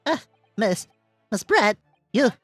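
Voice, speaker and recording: A streamer voicing formal, earnest courtroom gentlemen from a video game, with stilted courtesy. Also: medium pitch, sing-song, takes it slowly, breathy edge, no room sound, studio quality, faint music behind, pitched above the performer's own voice, heavily acted. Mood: flustered, deferential. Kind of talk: dramatic read of a video game script.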